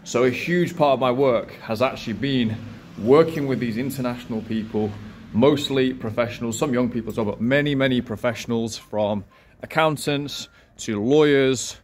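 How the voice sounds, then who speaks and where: A man's voice: mid-range voice, moderate pace, slight background noise. A man in his thirties, a language teacher, talking in a playful, self-assured way in a casual vlog recorded outdoors. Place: outdoors in a city street, by a wall.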